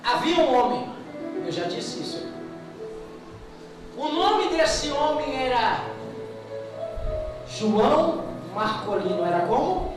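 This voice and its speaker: Echoing, high-pitched Brazilian man preaching. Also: sing-song, slow pace, long pauses. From Brazil, family from the Northeast. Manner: theatrical, calls on the congregation to respond. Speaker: evangelist preacher. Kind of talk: Pentecostal sermon with dramatic storytelling. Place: church hall with PA. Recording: echoey church PA, distant mic, music behind. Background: music.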